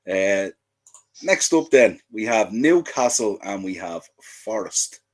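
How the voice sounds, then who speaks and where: A man's voice: lowish voice, expressive, takes it slowly. A man co-hosting a casual sports podcast, chatty and easygoing, often stumbling and restarting his sentences. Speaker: podcast co-host. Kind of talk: casual chat.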